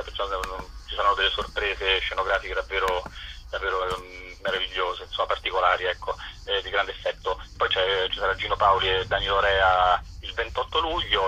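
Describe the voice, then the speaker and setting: A man giving an informal radio interview by telephone as a festival director, with halting, deliberate phrasing. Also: low-pitched, pushed and bright, slight background noise, rough recording.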